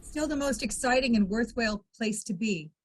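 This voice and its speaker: A woman playing a brisk, teasing, combative military lawyer in an amateur sci-fi script reading. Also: on the high side, expressive, background noise, natural voice. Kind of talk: amateur table read of TV script.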